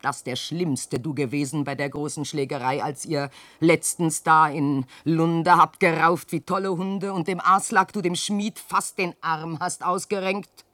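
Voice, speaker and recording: A woman's voice: deep voice, steady tone, a little slow, hardly any pauses, fairly bright tone, no room sound. An actress playing an old peasant widow and mother who scolds and laments in declamatory verse in a radio drama. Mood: scolding. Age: older. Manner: declamatory, nagging and wailing, dramatic swings.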